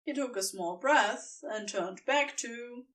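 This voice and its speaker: A woman's voice: a little high, takes it slowly, fairly bright tone, recorded from a distance. A woman reading scripted story narration as the narrator of an audio fiction piece.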